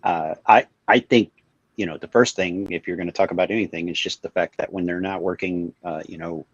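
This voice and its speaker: A man's voice: low-pitched, steady tone, fairly quick, slightly rough, no echo. A man who runs an IT company, explaining at length in a casual panel discussion over a video call. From Virginia, USA. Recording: dull lo-fi online call audio.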